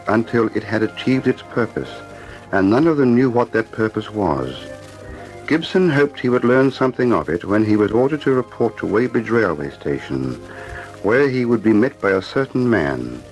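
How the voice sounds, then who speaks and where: A man narrating a 1940s radio drama in a measured storytelling manner. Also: medium pitch, expressive, darkish tone, faint music behind, rough recording. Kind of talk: scripted narration.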